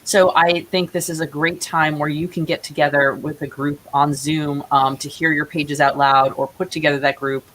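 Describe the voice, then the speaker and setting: A woman's voice: deep voice, level tone, normal speed, hardly any pauses, a bit bright. A woman speaking as an interview guest in an earnest, encouraging way, in casual remote conversation. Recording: hissy, noisy remote call audio.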